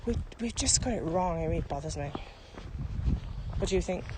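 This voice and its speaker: A woman's voice: fairly low pitch, animated, fairly quick. A British woman co-hosting a casual walking podcast outdoors, speaking in an earnest, emphatic, opinionated way. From United Kingdom. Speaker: podcast co-host. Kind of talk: casual conversational chat while walking. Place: outdoors, walking on a mountain path. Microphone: handheld recorder without wind cover. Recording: very noisy windy outdoor location recording.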